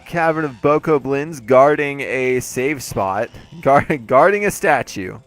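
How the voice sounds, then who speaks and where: Male let's play host, mid-pitched, congested and playful, over soft game music. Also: expressive, slow. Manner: playful, chatty, jokey asides. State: congested, throat a little off. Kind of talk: casual gameplay commentary.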